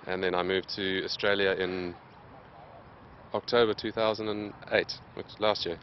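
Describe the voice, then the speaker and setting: A man in his late twenties, answering interview questions in a plain, steady way with many pauses. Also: low-pitched, highs cut off, a little hiss or hum. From Zimbabwe. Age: late 20s. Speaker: interviewed athlete.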